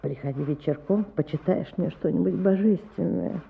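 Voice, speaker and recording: A woman's voice: lowish voice, moderate pace, vocal fry. Pious old village woman, muffled recording. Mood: confiding. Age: older. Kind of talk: Soviet radio play acting.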